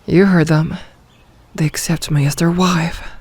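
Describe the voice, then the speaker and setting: Woman voicing a female werewolf pack leader, possessive, commanding, slow measured delivery, dry close ASMR roleplay sound. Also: fairly low pitch, fairly even intonation, darkish tone, breathy edge, dry recording. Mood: possessive, proud.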